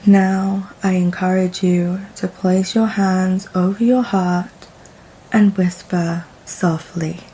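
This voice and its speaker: A woman leading a guided meditation in a soft, soothing, deliberate manner with long pauses between phrases. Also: on the low side, steady tone, husky, low-quality recording.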